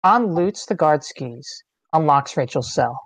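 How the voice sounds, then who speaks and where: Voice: mid-range voice, lively intonation, unhurried, no echo, rough recording. Stage-direction narrator: terse dramatic phrasing, scripted table read, close dry sound. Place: online meeting, close dry mic.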